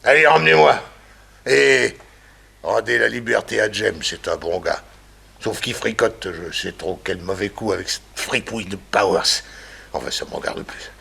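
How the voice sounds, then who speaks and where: An older man playing a mad, vengeful murderer who gloats theatrically, with a gravelly, husky voice, in a 1960s French radio crime drama. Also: lowish voice, normal speed, sharp tone, exaggerated voice. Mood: cynical satisfaction.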